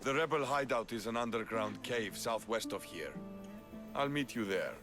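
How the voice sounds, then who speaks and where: An actor playing a proud, composed Spartan commander in a video game, measured and confident. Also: male voice, medium pitch, lively intonation, over music, natural voice. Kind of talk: video game cutscene acting.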